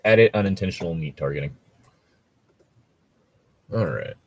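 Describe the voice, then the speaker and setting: Husky low-voiced man, wry and animated. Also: normal speed. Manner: profane, wry, joking. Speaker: co-host reading online tabletop gaming horror stories. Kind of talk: casual banter mixed with reading posts aloud.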